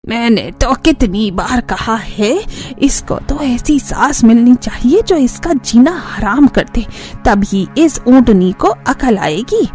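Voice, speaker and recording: A woman voicing a sharp-tongued, disapproving old grandmother who nags and taunts in a family cartoon. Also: on the high side, expressive, slow pace, faint music behind. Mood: scolding, taunting. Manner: taunting, nagging. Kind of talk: Hindi family cartoon voice acting.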